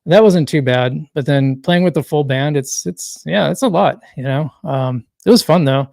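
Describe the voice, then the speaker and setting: A man hosting a casual solo livestream, rambling and correcting himself as he reads chat comments, his voice worn and going hoarse. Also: on the high side, moderate pace, a little mellow, no room sound, clean studio sound. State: losing his voice. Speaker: livestream host.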